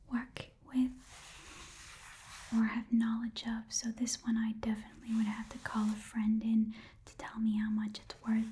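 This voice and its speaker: Woman acting as shrewd goblin shopkeeper, breathy whisper, even intonation, rambling haggler, ASMR fantasy roleplay. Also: on the high side, natural voice. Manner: rambling, haggling, muttering to self. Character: shrewd, chatty goblin pawn shop keeper.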